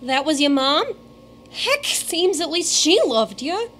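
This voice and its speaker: A scruffy orphan boy off the streets, voiced by a woman, talking tough slang in a high, bouncy voice. The pitch jumps around a lot. This is a radio drama. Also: very animated, slow, fairly bright tone, faint music behind, heavily acted. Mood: streetwise.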